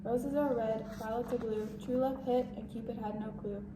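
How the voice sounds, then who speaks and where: A woman's voice: fairly high pitch, steady tone, normal speed, close to an everyday voice. A woman playing a sincere, pressured, romantic teenage football star in an amateur dramatic monologue. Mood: poetic. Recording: echoey, distant, very noisy room.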